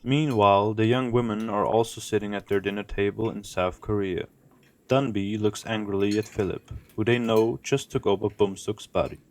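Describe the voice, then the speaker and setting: A man reads scripted narration for an audio drama. His voice sits on the low side and he tells the action as a storyteller. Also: fairly even intonation, normal speed.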